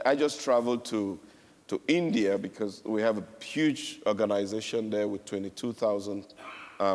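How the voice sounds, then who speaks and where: A man's voice: fairly low pitch, darkish tone. A man from Cameroon speaking on a panel, telling a story with emphatic repetition. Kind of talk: panel speech. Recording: echoey hall.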